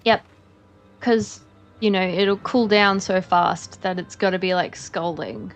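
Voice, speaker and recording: A woman's voice: mid-range voice, takes it slowly, faint music behind. Chatty Australian woman streaming a game. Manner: chatty, rambling, lots of fillers. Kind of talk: casual stream chat.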